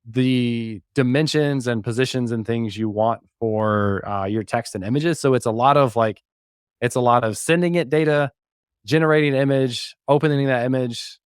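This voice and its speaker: A man with a mid-range voice talks through a live software demo in an informal way. He is lightly self-deprecating, and the sound is dry and close, free of room reflections. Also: medium pitch, moderate pace, clean tone, dry recording. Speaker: podcast host and developer giving a demo as guest. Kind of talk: casual live technical demo walkthrough.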